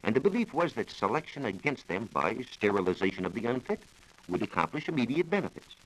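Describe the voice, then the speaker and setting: A man's voice: medium pitch, very animated, fast talker, rough recording. An American man giving a formal, precise scripted science explanation as a reporter on a 1940s radio programme. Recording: vintage 1940s radio broadcast recording.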